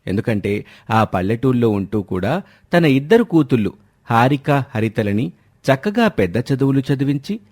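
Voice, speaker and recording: A man tells a scripted story as narrator. His pitch is average for a man and moves in a lively, animated way. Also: moderate pace.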